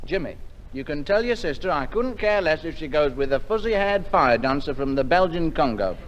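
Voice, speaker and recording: An actor playing an indignant, sarcastic young boyfriend in a 1950s radio sitcom, on a very noisy old recording. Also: male voice, a little high, expressive, fairly quick, highs cut off, lightly acted. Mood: huffy. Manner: exasperated, sarcastic.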